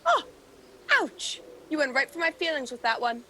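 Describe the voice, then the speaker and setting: A woman's voice: sits high, big pitch swings, moderate pace, sharp tone, slight background noise, heavily acted. A woman playing a sly, sarcastic demon henchman with a mocking, theatrical delivery in a radio play. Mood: sarcastic mockery. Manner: mocking, mock-sweet, theatrical.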